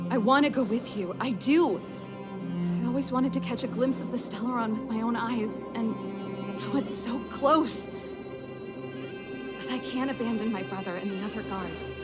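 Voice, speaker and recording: A resolute, caring older sister from a video game, played by a woman. Her voice sits a little high and speaks with spirited conviction. Loud music plays behind her. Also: natural voice. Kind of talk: English game voice acting, cutscene dialogue.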